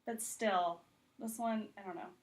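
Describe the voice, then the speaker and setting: A woman's voice: medium pitch, fairly even intonation, a little slow, poor audio. A woman speaking as a podcast guest, giving her opinions in informal, conversational chat.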